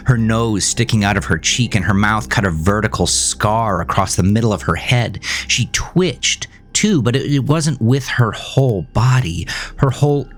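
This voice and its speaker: A man narrating a scripted first-person horror story in a measured, dramatic manner. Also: lowish voice. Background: music.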